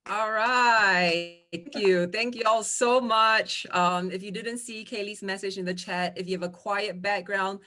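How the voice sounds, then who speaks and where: A woman's voice: medium pitch, steady tone, unhurried, few pauses, pushed and bright. A woman from Singapore doing a stand-up set over a video call, delivering wry, playful punchlines. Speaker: comedian.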